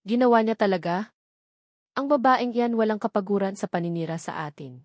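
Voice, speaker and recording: A woman's voice: medium pitch, brisk, a little mellow, dry recording, clean studio sound, close to an everyday voice. A woman narrator voicing a manipulative older mother who pleads theatrically and plays the wounded victim in a story. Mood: feigned hurt. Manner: pleading, theatrical, guilt-tripping.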